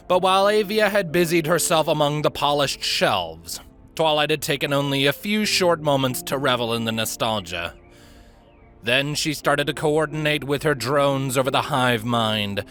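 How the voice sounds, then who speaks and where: A man narrates a story with lively, varied intonation and a bright, forward voice. His delivery is expressive and scripted, with music playing underneath. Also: medium pitch. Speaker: narrator, fan fiction reader.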